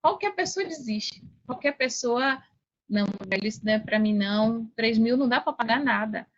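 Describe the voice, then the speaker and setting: A woman's voice: on the high side, normal speed, muffled recording. A woman with a Brazilian accent telling personal stories casually as a podcast guest, repeating key phrases for emphasis.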